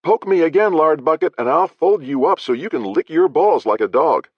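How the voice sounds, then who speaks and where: A tough, brash female sellsword, put on by a man who raises his pitch well above his own. She speaks in a cutting, loud, sharp-edged way. The recording is dry and slightly dull. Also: lively intonation, moderate pace, no room sound, lightly acted. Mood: fierce.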